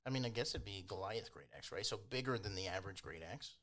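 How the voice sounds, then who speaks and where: A man's voice: lowish voice, animated, fast, fairly bright tone. A man working as game master, casually improvising the narration of a tabletop roleplaying game.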